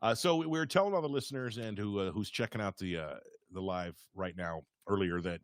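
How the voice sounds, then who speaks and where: A man hosting a podcast in a casual, chatty interview style, upbeat, informal and excitable. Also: on the low side, a little fast, no room sound. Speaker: podcast host.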